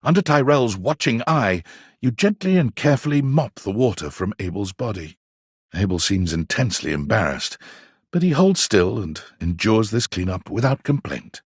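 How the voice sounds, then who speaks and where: A man narrates a video game story in a lowish, husky voice. His delivery is theatrical and swings widely in pitch as he tells the scene to the listener. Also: sing-song, normal speed, dry recording, studio quality. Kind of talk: scripted second-person story narration.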